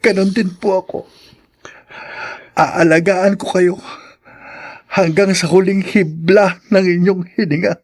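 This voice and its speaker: Young son, sentimental, husky, slightly high voice, drawn-out melodramatic radio acting. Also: male voice, fairly even intonation, full character voice. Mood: tearful, loving. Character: sentimental young son longing for his father. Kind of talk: Filipino radio drama acting.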